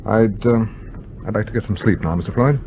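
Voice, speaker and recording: An actor playing a stern, formal British submarine captain in a radio drama, speaking in a clipped, authoritative way. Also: male voice, lowish voice, fairly quick, dark tone, a little hiss or hum, natural voice, low-quality recording. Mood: guarded, dry.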